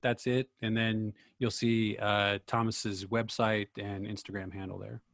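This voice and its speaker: A man's voice: fairly low pitch, level tone, a little slow, gravelly, a bit bright, no echo. A man speaking casually in a group discussion, as a host and actor telling stories.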